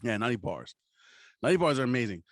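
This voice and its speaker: A man's voice: mid-range voice, very animated, fast talker, dry recording, clean studio sound. An American man co-hosting a podcast in casual, comedic chat, rambling along with plenty of swearing and slang. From New Jersey, USA. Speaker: podcast co-host.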